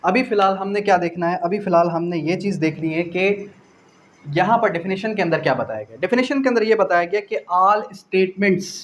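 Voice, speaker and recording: A Pakistani man teaches law in a slightly high voice. He explains point by point, often repeating a phrase and posing a question before answering it. English legal terms are mixed into his speech, and faint noise runs through the recording. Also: expressive, a little fast. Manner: didactic, repeats phrases and asks rhetorical questions. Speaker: law lecturer. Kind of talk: exam-prep lecture, explaining point by point.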